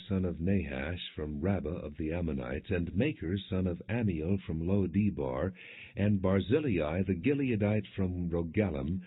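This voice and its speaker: A man reading scripture as an audiobook narrator, in measured, clearly enunciated storytelling without dramatization. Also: low-pitched. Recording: muffled, phone-like lo-fi audio.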